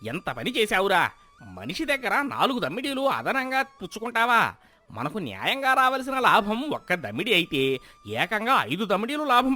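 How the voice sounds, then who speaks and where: A man voicing a scheming, falsely pious village moneylender in a loud, theatrical way for a moral story. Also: sits high, no room sound, full character voice. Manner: loud, theatrical scolding and protesting. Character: sanctimonious, scheming male village moneylender feigning piety.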